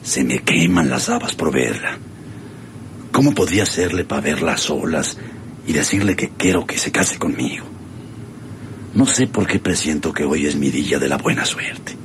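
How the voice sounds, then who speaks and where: A man playing a scornful older ranch boss, a villain with a gruff, commanding manner and a Mexican accent, in a radio drama. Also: a bass voice, lively intonation, moderate pace, a bit bright, exaggerated voice. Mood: scheming. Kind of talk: radionovela acting. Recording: noisy old radio serial recording.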